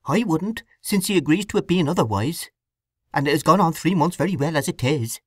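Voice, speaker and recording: A woman's voice: sits low, expressive, fairly quick, slightly rough, no room sound, voice pushed up. Woman doing an old country widow, rural English dialect, homely, soothing, lilting, dry sound.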